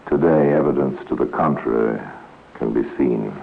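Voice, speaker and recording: An actor as the male narrator of a 1950s radio crime drama, telling the story in a measured, grave and wry manner. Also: very deep voice, vocal fry. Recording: tinny, noisy old radio recording.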